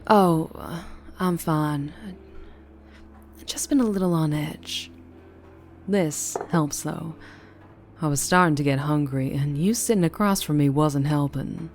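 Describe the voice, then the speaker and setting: A low-pitched Southern vampire woman, acted by a female performer speaks in a soft, intimate ASMR roleplay. She is flirtatious yet guarded and troubled, with a protective streak and a halting delivery. Faint music plays behind her. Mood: guarded, affectionate.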